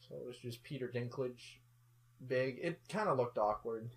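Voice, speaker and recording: A man co-hosting a relaxed movie review, talking in an everyday mid-pitched voice. His tone stays jokey and loose. Now and then he drops into exaggerated impressions to poke fun at the characters. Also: moderate pace. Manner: jokey, slips into mocking silly voices for characters. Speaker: co-host of a movie review show. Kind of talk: casual film review chat.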